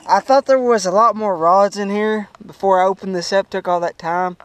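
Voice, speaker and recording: A young man jokes and teases his way through a show-and-tell, laughing as he goes. His voice sits high for a man. It is caught outdoors on a lake by a small action camera. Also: normal speed, clear, resonant tone, dry recording. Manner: playful, teasing, laughs often. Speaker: vlog host. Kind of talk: joking boat tour. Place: outdoors on a bass boat on a lake.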